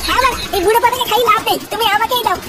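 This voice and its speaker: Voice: very high-pitched, racing. A performer voicing a cheeky, foul-mouthed, scheming boy in a comedy cartoon, brash and mocking in manner. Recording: clearly noisy cartoon soundtrack.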